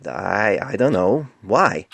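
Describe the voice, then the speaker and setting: A man's voice: lowish voice, sing-song, slow pace, vocal fry, fairly bright tone, breathy edge, no room sound, studio quality, heavily acted. A man voicing a young male pony resident doctor in a storybook reading, defensive, indignant and smart-aleck.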